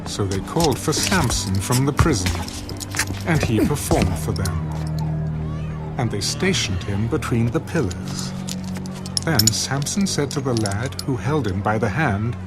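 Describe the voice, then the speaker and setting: A man's voice: mid-range voice, lively intonation, a little slow. A man narrating scripture as a scripted, dramatic audio production. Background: music.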